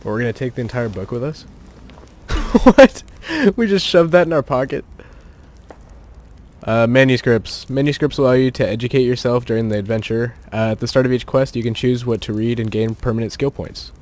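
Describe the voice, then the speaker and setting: A man's voice: medium pitch, brisk, a little mellow. A man giving casual gameplay commentary into a desk mic, chatty, laughing often and thinking aloud. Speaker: gaming channel host. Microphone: home desk mic without pop filter.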